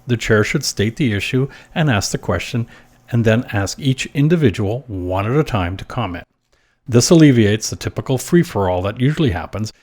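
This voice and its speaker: A man hosting a short advice podcast, reading scripted tips in a measured, instructional manner. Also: mid-range voice, a little mellow.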